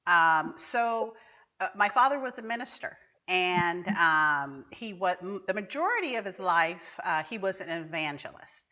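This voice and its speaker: Podcast guest, female, raised in Trinidad; bright, forceful tone, reflective storyteller, dull lo-fi sound. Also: on the low side, expressive. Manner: reflective, unhurried storytelling with many fillers. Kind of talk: casual interview chat. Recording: dull lo-fi audio.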